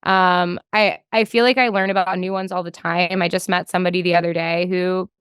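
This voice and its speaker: A woman's voice: fairly low pitch, level tone, a little fast, a bit bright, clear, resonant tone, no room sound, clean studio sound. A woman chatting casually as an interview guest, thinking aloud and peppering her talk with "like". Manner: conversational, thinking aloud, frequent hedging with "like". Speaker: podcast guest, developer relations engineer.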